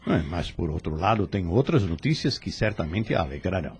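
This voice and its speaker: A Brazilian man playing a calm, kindly spirit instructor with patient authority and a husky voice in an audio drama. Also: deep voice, brisk, faint music behind, heavily acted. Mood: warm, pleased.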